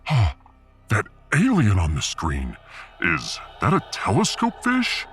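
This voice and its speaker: A man plays a tough spaceship security chief in a sci-fi audio drama. The voice is low and highly animated, blunt and boastful, with faint music behind. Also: slow, exaggerated voice. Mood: self-assured. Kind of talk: sci-fi audiobook character acting.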